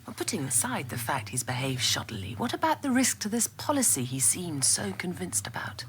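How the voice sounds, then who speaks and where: An actress playing a forthright, morally outraged wife, emphatic and articulate, in a radio drama. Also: female voice, on the low side, big pitch swings, a little fast, slight background noise. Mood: scornful. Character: Margaret, indignant, forthright wife, morally outraged and sharp-tongued. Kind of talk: naturalistic radio drama acting.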